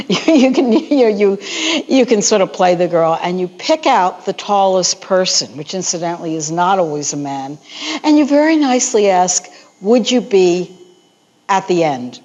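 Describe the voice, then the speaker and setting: A woman's voice: on the low side, normal speed. Woman, tour guide, panel talk, chatty, lots of fillers, slightly muffled. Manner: animated, chatty, many "you know" fillers. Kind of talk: panel discussion.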